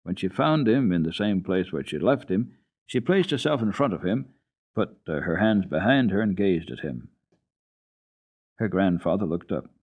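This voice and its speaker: A man giving an expressive, dramatic radio reading of a children's classic, with deliberate phrasing. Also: deep voice, slightly rough. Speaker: radio storyteller. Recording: dull lo-fi old radio recording.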